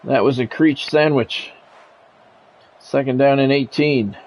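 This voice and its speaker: A man's voice: mid-range voice, fairly even intonation, slow pace, a little mellow. A middle-aged man giving live play-by-play of a football video game, mixed with offhand, conversational chat and casual swearing.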